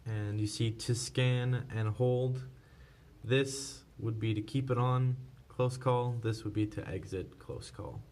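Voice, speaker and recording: A man's voice: medium pitch, takes it slowly, a little mellow. A man presenting a casual hands-on product tutorial, explaining informally step by step without a script. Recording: clear background noise.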